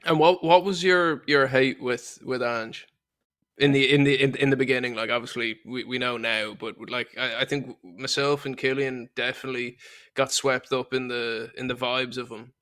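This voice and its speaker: A man's voice: mid-range voice, steady tone, normal speed, fairly bright tone. Hesitant man chatting casually about football. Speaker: football podcast co-host.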